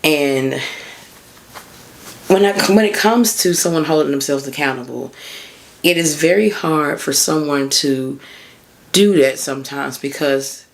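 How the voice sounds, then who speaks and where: A woman in her thirties with a hoarse voice, hosting a casual solo podcast as a mindset coach and chatting informally and encouragingly with her listeners. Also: low-pitched, unhurried, long pauses. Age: 30s.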